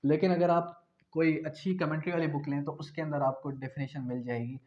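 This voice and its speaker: Male law lecturer, Pakistani accent, fairly high voice, didactic question-and-answer delivery, slightly muffled lo-fi recording. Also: fairly even intonation, brisk, darkish tone. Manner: didactic, asks questions and answers them himself. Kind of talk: law lecture explaining articles clause by clause. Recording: dull, lo-fi audio.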